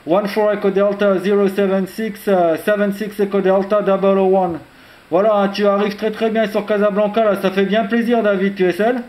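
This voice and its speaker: A man's voice: high voice, flat delivery, moderate pace, darkish tone. A man working as an amateur radio operator, chatting informally in call signs and jargon, friendly and full of filler tags. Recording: roomy, echoing radio contact audio.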